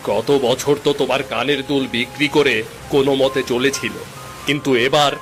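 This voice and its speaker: A man's voice: medium pitch, fairly even intonation, normal speed, a bit bright, natural voice. A man playing a worried, hard-up middle-aged village father in a Bengali cartoon, grumbling and resigned, over music. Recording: background music under the voice.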